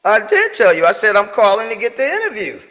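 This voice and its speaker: A man's voice: high-pitched, wildly swooping pitch, fast talker, sharp tone, big-room sound. A man hosting a live show, talking loosely in slang with lots of fillers and restarts. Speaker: podcast host. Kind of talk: casual live show chat.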